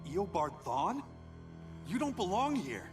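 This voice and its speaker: An actor playing an earnest young superhero in a video game cutscene, speaking with pleading sincerity. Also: male voice, high-pitched, big pitch swings, takes it slowly, over music, heavily acted. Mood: wry. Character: earnest young male speedster hero. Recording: game cutscene over loud score.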